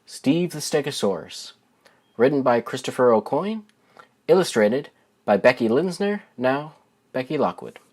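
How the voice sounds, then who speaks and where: A man's voice: mid-range voice, very animated, measured pace, a little mellow. A young man who hosts a channel and reads his own writing aloud for viewers. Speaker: channel host and children's book author. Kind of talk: casual spoken introduction to viewers.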